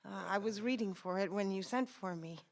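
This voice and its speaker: A woman's voice: mid-range voice, fairly quick, natural voice. A woman playing a flustered, eager old male apprentice angel in a radio drama, stammering politely. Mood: sheepish, hopeful.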